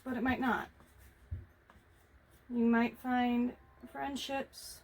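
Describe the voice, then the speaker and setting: A woman vlogger talking casually to herself as she paints, reflective, halting and encouraging, with a naturally quiet voice that she tries to project. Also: on the high side, little pitch movement. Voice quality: normally quiet voice, making an effort to project. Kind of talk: casual self-talk while painting. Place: hot room indoors.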